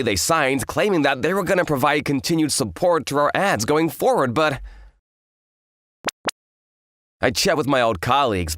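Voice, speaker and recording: A man playing an earnest, easily flustered young office worker who narrates his romance in a comic manga dub, with an excitable delivery. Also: medium pitch, lightly acted. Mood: concerned. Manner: excitable, expressive.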